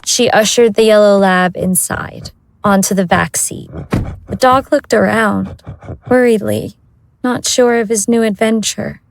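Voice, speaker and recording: A woman's voice: mid-range voice, a little slow, creaky, poor audio. A woman narrating a scripted audio drama as its storyteller.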